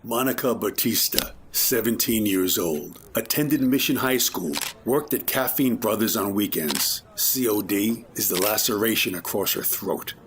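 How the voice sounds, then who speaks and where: A man's voice: fairly low pitch, measured pace, few pauses, noisy background, natural voice. A man playing a stern, irritable police captain with an authoritative, confrontational manner in an audio crime drama. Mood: grim, matter-of-fact.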